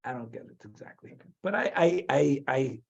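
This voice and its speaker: An American rabbi from New Jersey leads a Torah class at a middling pitch. He often stalls and repeats small words before pressing on. The recording sounds dull and lo-fi. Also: expressive, gravelly, slightly rough. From New Jersey, USA. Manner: halting, many restarts and repeated words.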